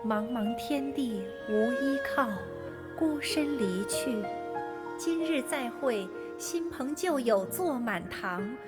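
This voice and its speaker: A young opera singer sings in a high, stylized traditional manner. Her words come slowly, every syllable stretched long and ornamented. Loud traditional string music plays under her voice. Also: lively intonation. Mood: solemn. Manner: drawn-out stylized sung syllables. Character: young female Chinese opera singer performing on stage. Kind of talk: traditional Chinese opera singing.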